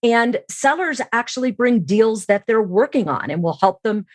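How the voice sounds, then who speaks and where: A woman's voice: a little high, lively intonation, normal speed, dry recording. Woman explaining in conversation, close dry recording. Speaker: podcast guest, sales trainer and keynote speaker. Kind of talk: conversational expert interview.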